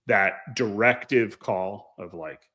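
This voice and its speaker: Low-pitched man, informal and hedging. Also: slow, pushed and bright. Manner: informal, hedging, breaks off mid-thought. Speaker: airline pilot and panelist. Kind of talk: casual panel discussion.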